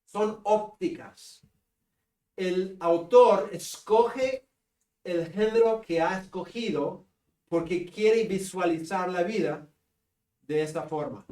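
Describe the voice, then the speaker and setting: A man with a northern Peruvian Spanish accent giving a seminary class lecture, joking with students and pausing on fillers. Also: high-pitched, mellow. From Peru. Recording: echoey classroom from a distance.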